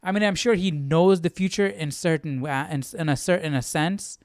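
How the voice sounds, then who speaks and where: A man's voice: fairly high pitch, moderate pace, no room sound, clean studio sound. A man hosting a casual Bible study livestream, thinking aloud and often checking for agreement. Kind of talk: casual commentary.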